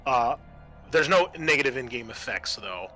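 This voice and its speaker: A man's voice: mid-range voice, lively intonation, normal speed. A man working as game master of a tabletop roleplaying game, talking informally and thinking aloud as he goes. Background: quiet game soundtrack music.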